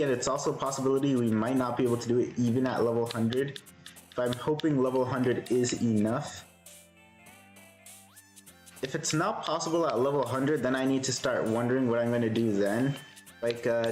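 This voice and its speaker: A man live-streaming a game, with a soothing voice, thinking aloud in a relaxed way and answering chat. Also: mid-range voice, echoey room.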